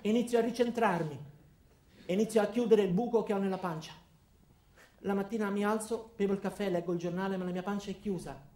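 A man narrating a first-person comic monologue as an actor, in a deadpan, terse manner. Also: high-pitched, a little fast, roomy sound.

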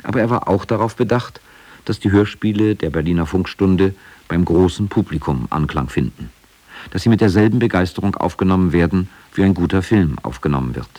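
A man's voice: sits low, animated, fairly quick, darkish tone. A man reading scripted narration for a radio documentary.

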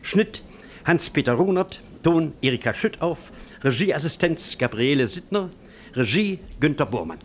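Lively radio play master of ceremonies, fairly high voice, slight noise behind. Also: male voice, a little slow. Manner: lively, announcing. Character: genial showman master of ceremonies.

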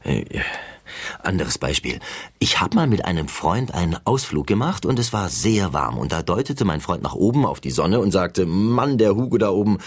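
A man's voice: deep voice, sing-song, normal speed, breathy edge, heavily acted. A man playing an earnest, wordy, eccentric interviewer in a satirical radio dialogue. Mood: earnest, chatty. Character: chatty eccentric man coining silly sayings as interviewer.

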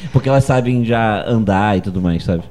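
A Brazilian man chatting casually as a panelist about films, informal and halting, often checking in with tag questions. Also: fairly low pitch, darkish tone, a little hiss or hum. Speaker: podcast panelist. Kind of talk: casual film discussion.

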